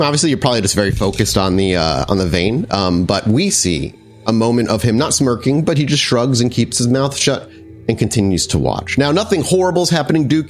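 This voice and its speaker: A man running a tabletop horror game, narrating descriptively and searching for words as he improvises. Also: mid-range voice. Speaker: game master. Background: background music.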